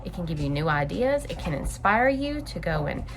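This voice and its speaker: A woman reads aloud for children in an animated, swooping voice. She speaks straight to young listeners and explains things in simple, instructive terms. There is some background noise in the recording. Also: fairly low pitch, normal speed, gravelly. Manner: expressive, instructive, addressing young listeners directly. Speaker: story time host reading to children.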